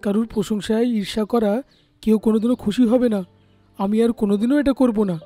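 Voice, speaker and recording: A young male cartoon parrot acted out, petulant and emphatic. The voice is very dark and muffled in tone, recorded dry with music underneath. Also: medium pitch, steady tone, fairly quick, no room sound, natural voice. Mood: remorseful. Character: hot-headed young male parrot, defiant then remorseful.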